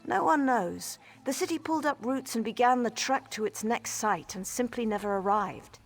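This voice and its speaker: An actress playing an exasperated, wry mage scholar in a video game, explaining things articulately. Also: female voice, a little high, moderate pace, faint music behind. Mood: informative, wistful. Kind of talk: conversational video game dialogue.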